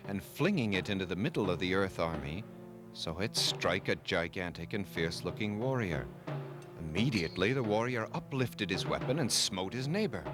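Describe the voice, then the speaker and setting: A deep-voiced man tells a children's myth from a script. His delivery is formal and measured with dramatic emphasis. Soft music plays behind him. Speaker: storyteller.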